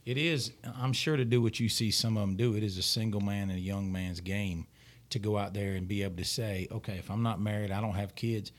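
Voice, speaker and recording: A man's voice: fairly low pitch, fairly even intonation, a little fast. A man co-hosting a podcast, talking things through in a reflective, reasoning way. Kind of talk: casual podcast conversation.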